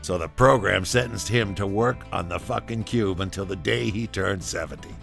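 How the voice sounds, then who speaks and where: A man playing a wry, foul-mouthed former butcher and rebel, telling his story conversationally and theatrically in an audio drama, with a low, gravelly voice. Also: normal speed, fairly bright tone, soft background music.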